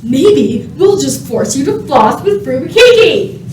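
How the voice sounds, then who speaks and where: A woman's voice: sits high, very animated, a little slow, distant mic. A woman playing an indignant, pompous human official in a comedic radio play, in a roomy, noisy recording. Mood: demanding. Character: indignant, blustering human official confronting aliens.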